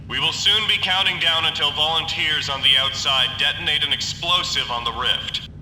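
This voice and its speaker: An actor playing a formal official making a measured emergency announcement through a loudspeaker in an audio drama. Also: male voice. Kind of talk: scripted public-address announcement. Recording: echoey distant loudspeaker with faint rumble.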